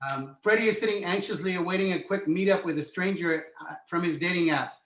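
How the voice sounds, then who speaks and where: A man's voice: high voice, quick pace, a bit bright. A man reading screenplay stage directions as a narrator, in an echoey room. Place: indoor room on virtual call.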